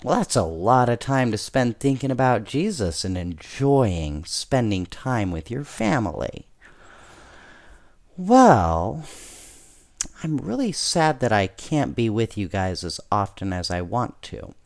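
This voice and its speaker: Slow-paced man reading a bedtime story. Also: mid-range voice, big pitch swings, a little mellow.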